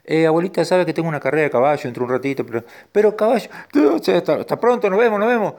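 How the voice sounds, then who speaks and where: A man's voice: on the high side, expressive, very fast, a little mellow, pitched above the performer's own voice. A man voicing a big cowardly horse, flustered and stammering hurriedly, in a children's story. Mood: nervous, evasive.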